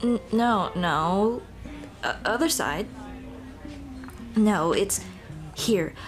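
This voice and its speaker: Woman doing a flustered monster girl, asmr roleplay, slow pace, stammery and nervous, music behind her. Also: mid-range voice, animated. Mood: warm, playful. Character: shy, flustered female dullahan monster girl on a date.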